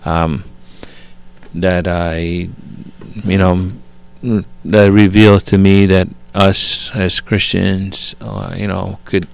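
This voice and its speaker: A middle-aged man from Italy speaks slowly in a low, gravelly voice. He gives a personal faith testimony, stopping often and restarting his sentences. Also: little pitch movement. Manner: halting, many fillers and restarts. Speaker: guest father. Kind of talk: faith testimony, casual talk.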